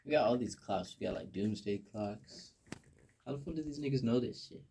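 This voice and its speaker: A man's voice: on the low side, a little fast, creaky, mellow, slight background noise. An American man in a casual podcast conversation, speaking earnestly with slang and repeating phrases for emphasis.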